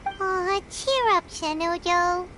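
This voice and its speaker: A woman voicing a tiny childlike fairy companion in a video game, squeaky, perky and friendly. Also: animated, slow, a little hiss or hum, full character voice. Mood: consoling. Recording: loud music behind.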